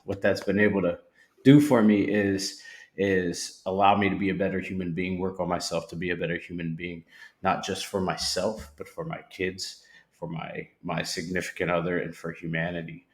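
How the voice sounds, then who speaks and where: A man sharing his recovery story as a podcast guest, sincere and reflective, with pauses and restarts. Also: sits low, level tone. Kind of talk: personal testimony. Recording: roomy echo.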